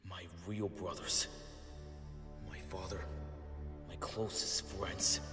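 A man's voice: low voice, flat delivery, slow. A man playing a battle-worn mutant turtle warrior in a comic drama, with a grave, weary, haunted delivery. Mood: grieving, weary. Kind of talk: dramatic motion-comic monologue. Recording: reverberant, with loud music underneath.

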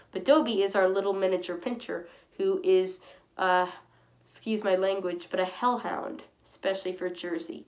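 A woman talks casually straight into a webcam in a small indoor room, her voice thick and stuffy from a cold. She rambles along, keeps clearing her throat, and is picked up close by the built-in mic. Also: medium pitch. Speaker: fursuit vlogger. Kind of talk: casual chat to camera.

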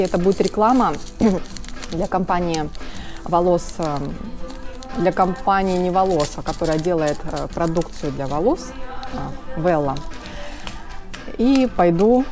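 A woman in her thirties from Russia talks casually in an interview, often stopping on filler sounds and correcting herself. Her voice sits a little low for a woman. Music plays clearly behind her in a small room, picked up by a shotgun mic beside her. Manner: rambling, many filler sounds, self-corrections. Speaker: guest, video blogger. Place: small indoor room with sofas. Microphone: camera-mounted shotgun mic beside her.

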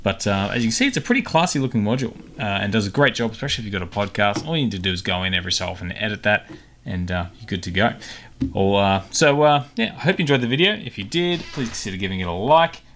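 A man hosting a casual software tutorial, talking informally and a little hesitantly as he thinks aloud. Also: fairly low pitch, expressive, quick pace. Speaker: tutorial host. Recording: clicks and typing, faint background noise. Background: keyboard typing and mouse clicks.

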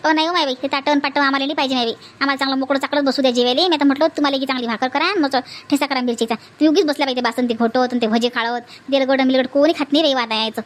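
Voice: sits high, steady tone, rapid-fire, hardly any pauses, natural voice. A performer voicing a bossy, grumbling village auntie in a family comedy cartoon, scolding and complaining in a colloquial Vidarbha Marathi accent. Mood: bossy, hospitable.

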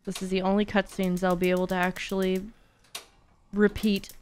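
A woman's voice: mid-range voice, level tone, moderate pace, a little mellow, a little hiss or hum. A young woman chatting casually and playfully as she streams a game. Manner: playful, chatty, breaks into singing and silly sounds. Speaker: streamer playing a horror game. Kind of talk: casual gaming livestream chat. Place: indoor streaming room at home.

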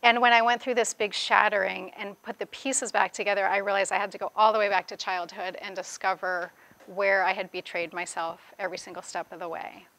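Bright-voiced woman author reading aloud. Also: medium pitch, little pitch movement, a little fast, creaky, low-quality recording. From grew up in the Bahamas. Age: 40s. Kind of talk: reading aloud from own memoir, with spoken commentary. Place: indoors, seated before a plain wall at a reading event.

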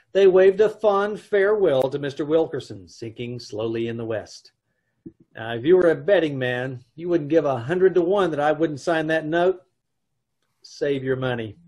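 Wry, wisecracking husband, male actor, husky, slightly high voice, dry old-time radio delivery. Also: moderate pace, darkish tone, natural voice. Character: wry, wisecracking husband narrating his own misfortunes. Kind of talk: old-time radio comedy narration and acting. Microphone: video call.

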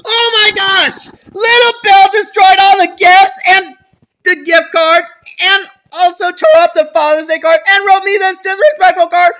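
A performer voicing a grown father who turns frantic and furious, shrill and panicky, in a homemade family drama. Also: expressive, slow pace, vocal fry, rough recording.

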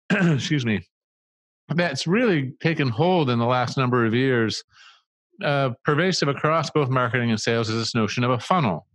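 A man's voice: medium pitch, normal speed, fairly bright tone, dry recording. A middle-aged man, a guest on an interview, explaining ideas in a thoughtful conversational way, speaking into a studio mic in a small room. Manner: thoughtful, explains with restarts and asides. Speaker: marketing agency co-founder, guest. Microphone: studio mic on a boom arm with headphones.

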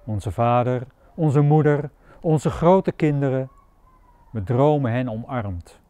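Male poetry reader, measured and declamatory, unhurried pace, dark timbre. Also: mid-range voice, smooth, no room sound. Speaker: poet. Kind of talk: poetry recital.

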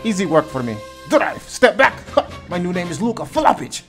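Cocky, complaining basketball star, played by a man, high and swooping, comedy voice-over over music. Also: big pitch swings, normal speed. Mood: cocky, taunting. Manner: exclaiming, taunting, complaining to referees. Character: cocky, whiny, trash-talking Slovenian male basketball star.

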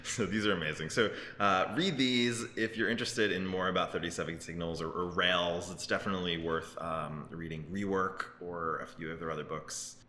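A man's voice: deep voice, steady tone, moderate pace, hardly any pauses, pushed and bright. A man in his thirties co-hosting a casual tech news chat, often breaking off mid-thought and checking for agreement. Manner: self-interrupting, conversational, seeks agreement with "right?". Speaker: co-host of a tech news show. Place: open-plan office, indoors on a couch. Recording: roomy, echoey office, faint background noise.

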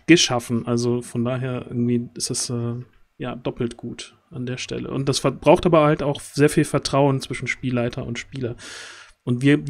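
A man's voice: medium pitch, a little mellow. A man chatting casually and giving feedback on a video call, feeling his way thoughtfully through his sentences and often rephrasing. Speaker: tabletop role-play streamer and player. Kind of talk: casual chat, giving feedback after a game. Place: indoors on a group video call.

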